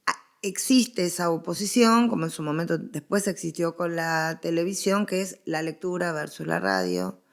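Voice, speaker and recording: A woman's voice: on the low side, moderate pace, gravelly. A middle-aged woman with an Argentine accent explains her ideas emphatically in a conversational academic interview, repeating phrases to drive them home. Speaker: guest historian interviewee.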